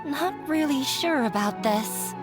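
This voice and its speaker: A woman's voice: sits high, expressive, unhurried. A woman playing a shy, anxious, self-doubting young girl in a video game, hesitant and stammering. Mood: nervous, doubtful. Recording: music behind the voice.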